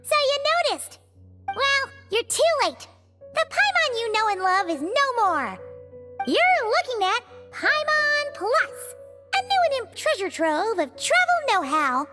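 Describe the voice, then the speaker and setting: A tiny, cheeky floating companion chatters in a very high, squeaky childlike voice that leaps up and down with every excited, dramatic line. Music plays clearly behind her. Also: unhurried, full character voice. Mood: playful. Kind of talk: video game cutscene acting. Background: background music.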